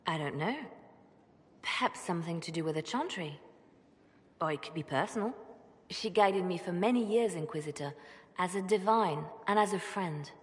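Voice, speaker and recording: An actress playing a composed, devout, pragmatic spymaster in a video game, speaking in a measured, earnest way. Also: female voice, lowish voice, animated, lightly acted. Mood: wistful. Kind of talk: video game cutscene dialogue. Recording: long reverb, faint background noise.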